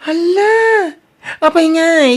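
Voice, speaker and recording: A woman's voice: very high-pitched, drawn-out words, full character voice. A woman acting in an Ilocano audio drama as a nosy, concerned best friend, with an Ilocano accent. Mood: curious.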